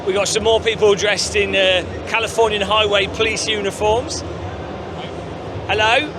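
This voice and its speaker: A man's voice: high-pitched, fairly even intonation, moderate pace, background noise. A male gaming channel host chatting casually and upbeat, with lots of fillers, on a walkthrough of a busy gaming convention floor.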